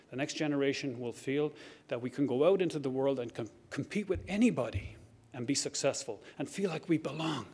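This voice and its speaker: A man's voice: medium pitch, darkish tone. A middle-aged man with an Irish accent giving a heartfelt acceptance speech, earnest and reflective, with frequent restarts. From Ireland. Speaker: award recipient giving a speech. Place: banquet hall at a lectern.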